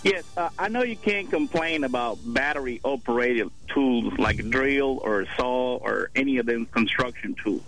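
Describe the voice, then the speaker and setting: A man's voice: medium pitch, measured pace, hardly any pauses, a bit bright, soft background music, poor audio. Man calling a radio show. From Cuba. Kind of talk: casual call-in chat. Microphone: phone call.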